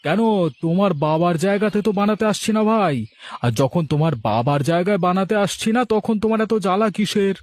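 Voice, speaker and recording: A man's voice: high-pitched, animated, normal speed, dry recording. A man voicing an aggrieved, envious village man in a cartoon, speaking in an indignant, boastful, emphatic way. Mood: defiant. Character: aggrieved, envious village man plotting a rival food stall. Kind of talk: animated moral story dialogue.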